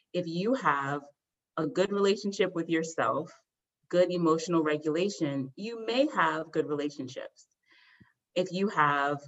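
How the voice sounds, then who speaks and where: Low-pitched female guest expert, calm and explanatory, on a dull-sounding lo-fi line. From Washington DC area, US. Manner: measured, explanatory, some fillers. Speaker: guest expert on student mental health. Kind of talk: interview answers.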